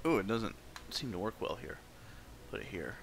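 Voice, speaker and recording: A man's voice: on the low side, lively intonation, normal speed, creaky, noisy background. A man livestreaming a game, chatting informally and thinking aloud as he plays. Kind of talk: casual livestream chat over gameplay.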